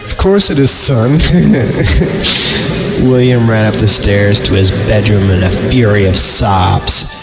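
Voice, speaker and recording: A man with a low voice reads a horror story on a podcast, with music clearly playing behind him. He takes his time, savoring words in a mock-dramatic way, and slips in wry comments. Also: slow, low-quality recording. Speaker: podcast host reading horror stories aloud. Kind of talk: mock-dramatic story reading with joking asides. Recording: loud music under the voice.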